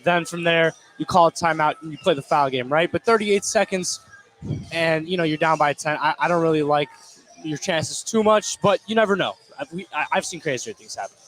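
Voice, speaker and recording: A man with a slightly high voice offers color commentary on a live basketball broadcast. He talks conversationally, as an analyst beside a play-by-play caller. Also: a little fast, a bit bright, low-quality recording.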